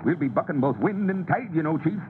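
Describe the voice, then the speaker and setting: An actor playing a gruff, stubborn tugboat captain in old-time radio style, with salty seaman's talk and barked orders. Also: fairly high pitch, expressive, a little fast, natural voice. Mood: stubborn, confident. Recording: noisy, tinny old radio broadcast.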